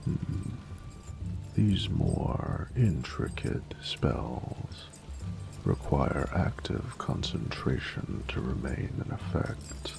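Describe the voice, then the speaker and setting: A man playing a flirty, adoring dragon mentor in an intimate romantic audio roleplay, speaking formally in a gravelly voice that often drops to a whisper. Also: extremely low pitch, sing-song, exaggerated voice. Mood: patient, coaxing. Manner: slow, formal, doting, seductive.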